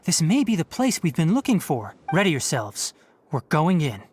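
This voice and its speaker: A woman voicing a calm, observant young male forest scholar in a video game, measured, caring and analytical. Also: low voice, background noise. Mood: focused, alert. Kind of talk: video game character voice acting. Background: game music.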